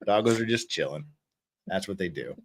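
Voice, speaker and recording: A man's voice: sits low, lively intonation, a little fast, breathy edge. A man hosting a livestream with casual, joking, teasing banter. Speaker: livestream host.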